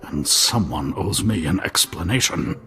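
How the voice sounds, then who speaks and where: Haughty aristocratic curator for a game: pompous, theatrical, very animated pitch, light music behind. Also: male voice, a little slow. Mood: haughty demand. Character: pompous, indignant noble museum curator, adult man. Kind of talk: video game voice acting.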